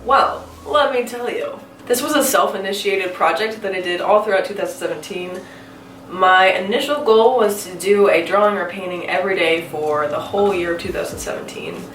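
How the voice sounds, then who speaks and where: A woman's voice: mid-range voice, moderate pace, distant mic, a little hiss or hum. A woman in her twenties with a stuffy, congested voice from a cold, chatting casually to the camera about her art. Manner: chatty, self-questioning, giggly. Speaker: artist vlogger. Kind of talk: casual talking-to-camera vlog. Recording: roomy echo, a bit off-mic, soft music.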